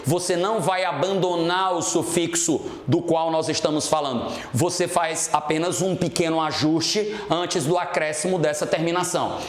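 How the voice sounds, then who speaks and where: A man's voice: on the high side, normal speed, few pauses. A Brazilian man teaching a grammar lesson as an English teacher, explaining didactically and checking that students follow. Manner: didactic, checks understanding often. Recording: roomy, echoing room sound.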